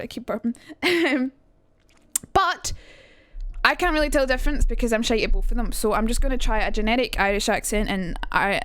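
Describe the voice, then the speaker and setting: A young woman talks her way through a casual stream lesson, hopping between chat messages and her own points. Her voice sits on the high side and sounds dry and close, with no room around it. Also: fairly bright tone, no echo. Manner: chatty, reads chat aloud, jumps between topics, swears. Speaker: streamer teaching accents.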